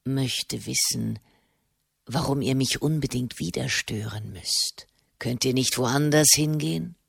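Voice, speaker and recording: A woman's voice: rumbling low, unhurried, vocal fry, breathy edge, no echo, studio quality, pitched below the performer's own voice, heavily acted. Woman growling as a grumpy old hermit monk. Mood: grumbling. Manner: growling, cutting. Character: gruff old hermit monk, scornful and sharp-tongued.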